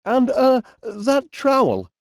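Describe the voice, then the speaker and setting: A man's voice: very high-pitched, wildly swooping pitch, takes it slowly, gravelly, no echo, studio quality, exaggerated voice. A man playing a cheerful, bumbling middle-aged inventor in a comedy game, with a Northern English accent and a politely flustered, hesitant manner. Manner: hesitant, stammering politeness with quaint exclamations. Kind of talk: animated comedy game voice acting.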